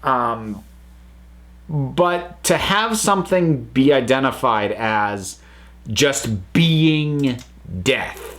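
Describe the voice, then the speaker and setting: A man hosting his own review show, talking things through in an unscripted, meandering way. He stops and restarts often, filling gaps with ums and uhs. His voice sits in an ordinary middle pitch. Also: expressive, very slow, fairly bright tone. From United States. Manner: rambling, hesitant, many fillers and restarts. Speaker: review channel host. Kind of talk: casual spoken review.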